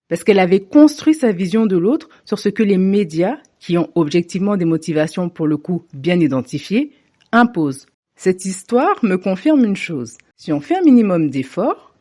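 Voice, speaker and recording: Measured woman with a mellow voice. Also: mid-range voice. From Africa. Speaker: commentator. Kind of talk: scripted opinion monologue.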